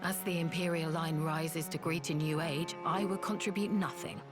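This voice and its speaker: An actress playing a proud, guilt-burdened former assassin in a video game, with a curt, formal way of talking. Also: female voice, sits low, steady tone, normal speed, music in the background, close to an everyday voice. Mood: solemn, resigned. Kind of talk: video game cutscene acting.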